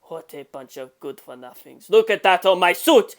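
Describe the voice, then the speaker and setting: A woman's voice: lowish voice, lively intonation, normal speed, lightly acted. A woman voicing an arrogant male gangster boss from a story, indignant and sneering as a scheming villain. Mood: furious contempt.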